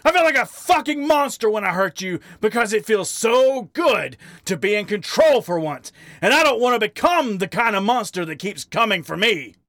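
A man voicing a bitter, volatile male badger who shouts in anguish and then turns weary. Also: sits high, lively intonation, moderate pace, no room sound, studio quality, pitched above the performer's own voice. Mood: furious.

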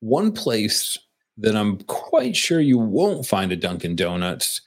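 A fairly low-voiced man talks casually on a podcast. He rambles and restarts his sentences, leaning on fillers as he goes. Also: wildly swooping pitch, slow pace. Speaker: podcast co-host. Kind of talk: casual beer podcast chat.

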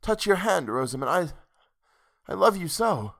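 A male narrator plays an ardent storybook lover, a troubled man desperately in love. His voice rises high and breathless. He pleads with impassioned urgency. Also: sing-song, moderate pace, vocal fry, a bit bright, heavily acted. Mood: desperate, adoring.

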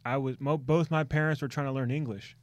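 A male comedian hosting a podcast, an animated, slangy storyteller who slips into quick voices. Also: mid-range voice, dry recording. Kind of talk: casual chat.

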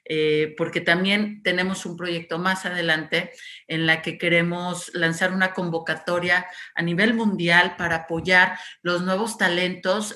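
A woman's voice: fairly low pitch, pushed and bright. A Mexican woman from Guadalajara gives a virtual conference talk, with many "este" and "eh" fillers. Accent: Mexican Spanish. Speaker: festival director giving a talk.

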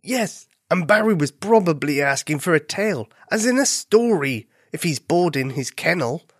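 A woman's voice: low voice, animated, normal speed, breathy edge, no room sound, clean studio sound. Woman voicing a friendly, easygoing male squirrel. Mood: reassuring. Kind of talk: children's storybook character voice.

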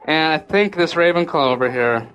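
Brief: upbeat woman, live show co-host, bouncy delivery, loud crowd and noise around her. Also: deep voice. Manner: lively, upbeat, quick to banter. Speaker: podcast co-host. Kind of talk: live podcast show before an audience. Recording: noisy crowded event room.

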